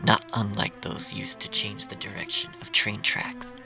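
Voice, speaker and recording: Low, creaky male storyteller over music. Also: steady tone, measured pace. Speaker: narrator. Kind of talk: scripted fan fiction audiobook narration. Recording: music under the reading.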